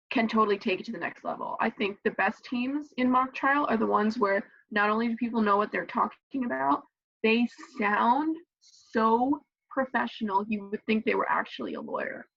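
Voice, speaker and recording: A young woman in college talking casually about mock trial on a video call, animated and playful. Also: medium pitch, dry recording. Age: young adult. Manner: animated, acts out quotes in funny voices. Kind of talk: casual Zoom chat. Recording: muffled video call audio.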